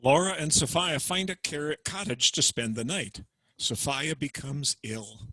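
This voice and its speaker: A man reading short scene summaries in a plain, measured voice. His voice sits a little high, and the sound is dry and close. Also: bright, forceful tone, no room sound. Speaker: narrator of summaries. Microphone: video call.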